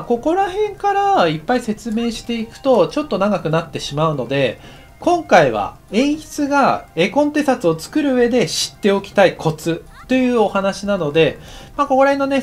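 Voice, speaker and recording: A middle-aged man, an anime director, explaining a technical topic in a relaxed, chatty lecture full of fillers. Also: high-pitched. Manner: relaxed and chatty, many fillers, lively rising and falling delivery. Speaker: anime director teaching a course. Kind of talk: explanatory screen-share lecture.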